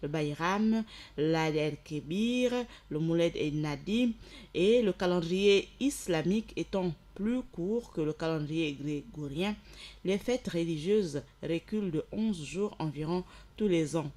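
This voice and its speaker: Lady radio host, kinda low voice, explaining stuff, gets loud for shout-outs. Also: expressive, measured pace. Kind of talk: radio presentation, reading notes and sending greetings.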